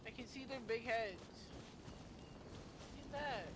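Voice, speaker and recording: A woman's voice: fairly high pitch, a little slow, very noisy. A woman giving casual, rambling, fannish commentary as she streams a game playthrough, with plenty of slang. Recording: game music behind.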